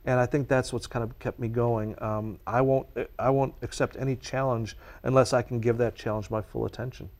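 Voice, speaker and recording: A man's voice: mid-range voice, fairly even intonation, brisk, few pauses, darkish tone. Earnest, passionate man in interview. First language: Czech. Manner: earnest and passionate, speaks at length. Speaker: guest, nature educator. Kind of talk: conversational interview answers.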